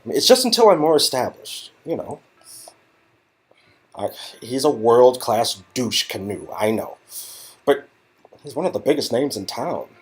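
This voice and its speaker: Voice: medium pitch, big pitch swings, measured pace, long pauses, breathy edge. A performer voicing a self-deprecating, wisecracking young male Hollywood agent in an earnest, conversational game-dialogue reading. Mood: wry.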